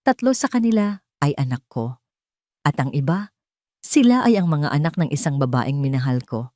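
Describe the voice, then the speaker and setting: A woman's voice: low-pitched, expressive, moderate pace, darkish tone, no echo, studio quality. A woman voicing an earnest, defiant male doctor in an audio story, speaking emphatically. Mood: bold, earnest.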